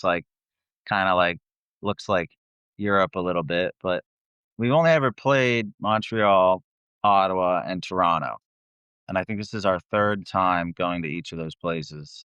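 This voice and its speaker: A man's voice: low-pitched, moderate pace, fairly bright tone, clear, resonant tone, no echo, highs cut off. A man who sings in a band, chatting as a guest and telling tour stories in a laid-back, slangy, sweary way. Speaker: band vocalist, interview guest.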